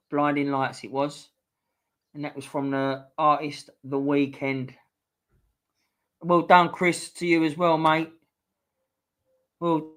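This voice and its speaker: A middle-aged man hosts a casual live stream quiz, bantering with viewers and swearing freely. His voice is going a bit hoarse. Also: on the high side, a bit bright. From England. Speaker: livestream host.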